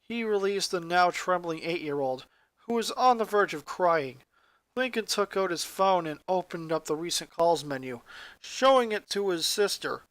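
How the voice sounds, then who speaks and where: A man with a notably high voice reads a scripted story. He narrates dramatically, as a fan fiction reader would. The sound is dry, with very little room to it. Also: expressive, normal speed, a bit bright, dry recording.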